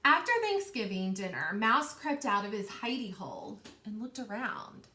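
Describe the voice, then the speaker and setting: A woman's voice: mid-range voice, sing-song, normal speed, bright, forceful tone. A woman reading a storybook aloud to children like a teacher, expressively stretching key words and asking questions. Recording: roomy, echoing indoor sound.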